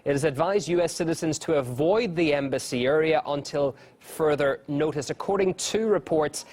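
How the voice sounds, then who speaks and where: A male news anchor interviewing a guest live, asking pointed questions in a brisk, conversational way. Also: fairly high pitch, darkish tone.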